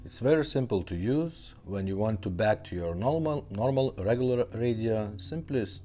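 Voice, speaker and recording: Man, very low pitch, dark soft-edged tone, casual demonstrator, plain halting phrasing. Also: lively intonation, faint music behind. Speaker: car radio repairer demonstrating a retrofit.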